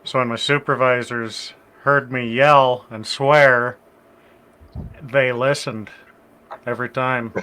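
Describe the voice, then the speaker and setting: Man with a bright, clear voice. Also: medium pitch. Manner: calm, measured advice-giver. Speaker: guest. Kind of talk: casual chat.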